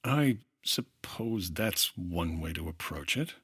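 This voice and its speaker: A low-voiced, deadpan radio detective. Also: male voice, takes it slowly, breathy edge, no echo, studio quality, full character voice. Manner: deadpan, wry. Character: dry, world-weary hardboiled male insurance investigator. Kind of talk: 1950s radio detective acting.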